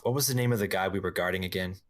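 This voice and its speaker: A man's voice: low voice, very fast. A man chatting casually as a player in a tabletop role-playing game.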